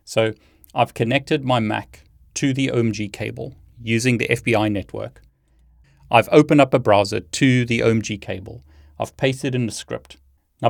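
A man presents a technical demonstration as host and instructor. His voice sits a little low and is recorded dry, with no room sound. He explains each step in turn as he goes. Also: vocal fry, no room sound. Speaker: tech video host and instructor. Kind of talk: hands-on demonstration talk.

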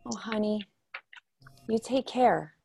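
A woman plays a tender middle-aged mom in a radio drama. Her voice is creaky and unhurried, with faint noise on the recording. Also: mid-range voice, dark tone. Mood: wistful, tender. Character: affectionate mother of a grown daughter.

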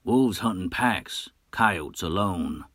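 A man giving voice to a frowning old wanderer who speaks in short, gruff words and wastes none. His voice sits low and deep, recorded dry and close. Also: lively intonation, slow pace, a bit bright, no room sound, heavily acted. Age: older. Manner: curt, gruff, terse.

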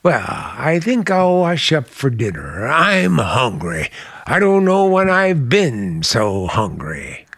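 An actor playing a charming middle-aged American uncle with quiet menace under a genial, deliberate manner, in vintage radio drama style. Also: male voice, medium pitch, huge pitch swings, husky, no room sound, clean studio sound, full character voice. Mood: guarded relief.

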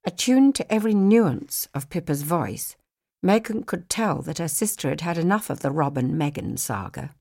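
A woman with a fairly low voice narrates an audiobook. She reads scripted fiction as a storyteller would. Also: expressive, normal speed, creaky, a little mellow.

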